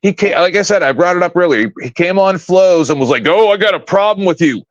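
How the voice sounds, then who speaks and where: A man's voice: high voice, expressive, fairly quick, no echo. A man in his fifties, a panel guest on a live stream, ranting in a blunt, profane, confrontational way from home. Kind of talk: casual live-stream rant. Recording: dull, lo-fi, dry stream audio.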